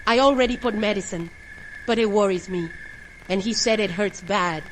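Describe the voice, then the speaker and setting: An actress playing a polite, gentle, worried mother in an audio horror drama. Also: medium pitch, moderate pace, a little hiss or hum, close to an everyday voice, rough recording.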